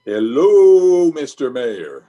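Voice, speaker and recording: A man's voice: mid-range voice, big pitch swings, very slow, dark tone, lo-fi sound, slight background noise. A man playing a blustering, self-important middle-aged uncle and small-town official in an old-time radio comedy, with a pompous manner. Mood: flustered, deferential.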